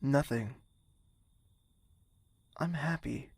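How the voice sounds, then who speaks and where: Gravelly, formal young prince. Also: male voice, medium pitch, very slow, darkish tone, no room sound, exaggerated voice. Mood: tender, teasing. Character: young prince, tender in private, commanding with subordinates. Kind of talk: audio drama acting.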